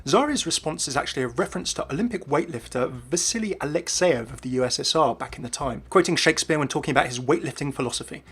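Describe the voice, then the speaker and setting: A man's voice: fairly high pitch, expressive, quick pace, few pauses, a bit bright, husky. A man presenting scripted commentary on video game lore as a voice-over. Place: voice-over with faint music behind.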